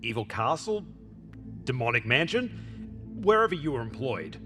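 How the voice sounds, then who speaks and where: An actor playing a crude, cocky, laid-back spaceship captain in a video game, talking in brash, blokey slang. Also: male voice, medium pitch, sing-song, unhurried, fairly bright tone, soft background music. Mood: curious, sympathetic. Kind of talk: video game character dialogue.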